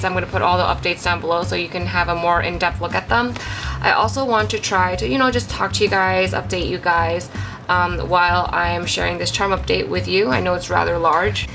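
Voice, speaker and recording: A young woman with a stuffy, congested voice, chatting casually as she shows her handmade craft pieces, apologetic and full of ums and likes. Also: mid-range voice. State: congested from a cold. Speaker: craft channel host. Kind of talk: casual show-and-tell vlog. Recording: clear music behind the voice.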